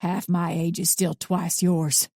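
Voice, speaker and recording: A woman voicing a bitter, mean old man in his eighties who sneers and talks in rough country grammar. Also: on the low side, level tone, takes it slowly, warm tone, dry recording, studio quality.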